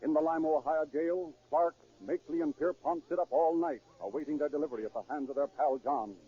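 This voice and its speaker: A man's voice: fairly high pitch, brisk, dark tone, soft background music. A man delivering dramatic scripted narration in the style of 1930s radio. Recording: tinny, phone-like 1930s radio recording.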